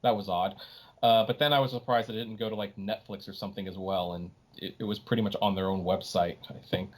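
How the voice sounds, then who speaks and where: A man's voice: lowish voice, steady tone, fast talker. Emphatic man talking on a podcast. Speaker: podcast host. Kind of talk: opinionated casual podcast discussion.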